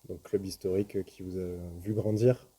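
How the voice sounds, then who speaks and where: A man interviewing a couple, asking halting, gentle prompting questions. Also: low voice, little pitch movement, a little hiss or hum.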